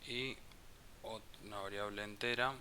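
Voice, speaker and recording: A man teaching a programming class, explaining code slowly and thinking aloud as he types. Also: on the low side, fairly even intonation. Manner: slow, halting, thinks aloud with restarts. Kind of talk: live coding class explanation. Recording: faint hiss.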